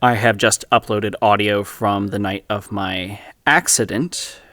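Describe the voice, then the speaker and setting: An actor playing a grave, deliberate man recording a public statement, solemn and measured, in a scripted audio drama. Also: fairly low pitch, fairly even intonation, fairly bright tone, no room sound. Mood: solemn, troubled.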